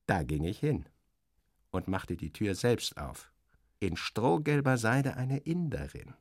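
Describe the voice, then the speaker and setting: A man narrates literary fiction in the first person with a low voice. His delivery is dramatic and wry, like someone voicing his own thoughts. The sound is very dry. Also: animated, takes it slowly, creaky, no echo.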